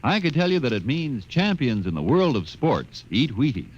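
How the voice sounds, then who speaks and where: A man's voice: mid-range voice, sing-song, moderate pace, darkish tone. Old radio ad announcer guy, super swoopy delivery, folksy, muffled sound. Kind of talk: 1950s radio advertising pitch. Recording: dull vintage radio recording.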